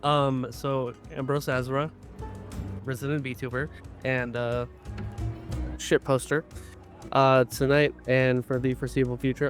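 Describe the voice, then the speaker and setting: A man introducing his character as a player at a tabletop game, loose and jokey, swearing casually. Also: medium pitch. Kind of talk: casual chat.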